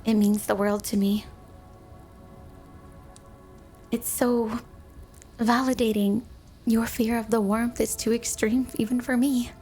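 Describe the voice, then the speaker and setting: Woman voicing a doting snow spirit wife, obsessive, coy and teasing, fairly high voice, ASMR roleplay, soft music behind. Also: flat delivery, measured pace. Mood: tender, reassuring. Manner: flirty, affectionate, teasing, coy. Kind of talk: ASMR roleplay, intimate monologue to a listener.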